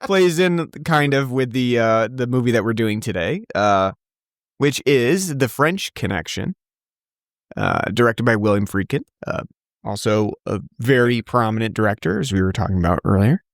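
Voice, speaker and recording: A man's voice: medium pitch, lively intonation, moderate pace, no room sound, clean studio sound. A man hosting a casual podcast, rambling with many fillers and restarts. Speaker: podcast host.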